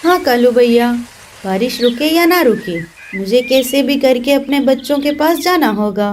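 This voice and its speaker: A woman voicing a brave, clever mother sparrow in a children's cartoon, earnest and expressive. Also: high-pitched, moderate pace, a little hiss or hum. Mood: worried. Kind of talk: Hindi children's cartoon dialogue.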